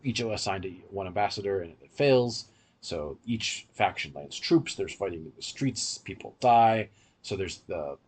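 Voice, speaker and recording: A man's voice: low-pitched, animated, moderate pace, breathy edge. A man hosting a podcast, giving an explanatory, opinionated review in casual discussion. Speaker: podcast host.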